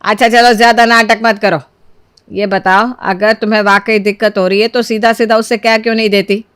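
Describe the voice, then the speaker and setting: A woman's voice: a little high, level tone, brisk, a bit bright, clear, resonant tone, dry recording, clean studio sound, lightly acted. A woman voicing a sly, scolding elderly mother-in-law in a cartoon story, with sarcastic, theatrical needling. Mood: bossy scheming.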